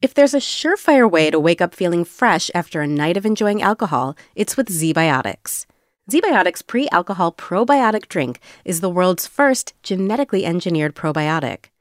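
A woman reads scripted advertising copy with lively, varied intonation. Her pitch is average and the recording is very dry and close. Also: moderate pace, no echo. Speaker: podcast sponsor-read announcer.

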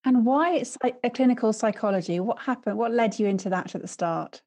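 A woman's voice: a little high, fairly quick, a little mellow, no echo. A woman hosting a podcast, delivering a polished, upbeat scripted intro and then asking her guest questions. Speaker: podcast host.

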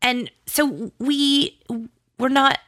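A woman's voice: sits high, very slow, fairly bright tone, dry recording. A woman talking casually as an interview guest, in a chatty, informal and enthusiastic way. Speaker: interview guest, audio drama producer.

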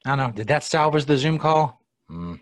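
A man's voice: medium pitch, lively intonation, brisk, no echo, studio quality. An American man hosting a live podcast, chatting casually with a profane, sardonic tone and muttered asides. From United States. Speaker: podcast host. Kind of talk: casual live show chat.

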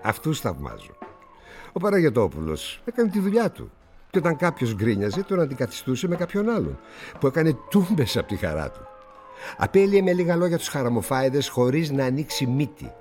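Cynical, theatrical newspaper publisher. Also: male voice, mid-range voice, big pitch swings, a little mellow, faint music behind. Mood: patronizing. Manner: theatrical, patronizing, with musing pauses. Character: worldly, cynical, condescending older male newspaper publisher. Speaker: actor. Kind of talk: radio drama dialogue.

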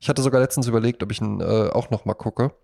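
A man's voice: medium pitch, fast, creaky, dark tone. A man co-hosting a casual podcast, talking a lot in an emphatic, jokey way. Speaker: podcast co-host.

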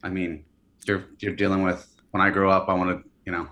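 A man's voice: sits low, fairly quick. A man in his early thirties, a company founder, talking casually in an interview with lots of fillers and restarts. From California and Hawaii. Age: early 30s. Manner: relaxed, hesitant, many fillers and restarts.

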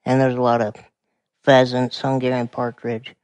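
A man in his forties from Montana with a quiet voice, calmly explaining things as a podcast guest. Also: mid-range voice, normal speed, clear, resonant tone, no echo. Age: 40s. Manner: calm, explanatory. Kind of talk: casual conversation.

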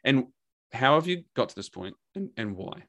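A man's voice: mid-range voice, normal speed. Candid New Zealand man, swearing freely. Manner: candid and energetic, swears freely, repeats words for emphasis. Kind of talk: casual podcast conversation.

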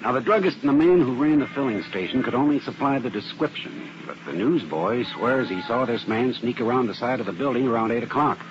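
A man's voice: mid-range voice, expressive, brisk, hardly any pauses, music in the background, natural voice. A man playing a methodical arson expert. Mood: explanatory. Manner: methodical, explanatory. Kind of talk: 1950s radio drama acting. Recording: muffled old radio broadcast with hiss.

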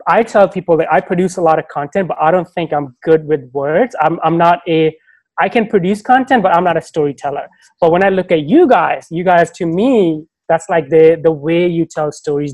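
A man's voice: a little high, darkish tone, dry recording. A man as a podcast guest, talking casually and thoughtfully, often stopping to restart his sentences. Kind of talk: casual conversation.

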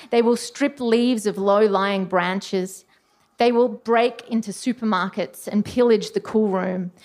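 A woman reading her own literary short story aloud as its writer. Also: mid-range voice.

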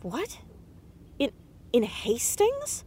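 Casting: stubborn teen girl sleuth, higher voice with very animated pitch, naturalistic audio drama delivery. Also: extremely slow, slight background noise, exaggerated voice. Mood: hushed curiosity. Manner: stammers when cornered, insistent. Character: headstrong, inquisitive teenage girl, defensive amateur sleuth.

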